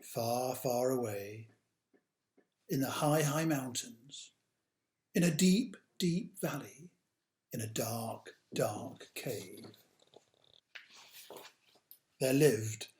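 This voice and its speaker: A man reading a picture book aloud to children, with dramatic drawn-out emphasis and hushed asides. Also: mid-range voice, expressive. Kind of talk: animated children's storytime narration. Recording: roomy, echoey sound.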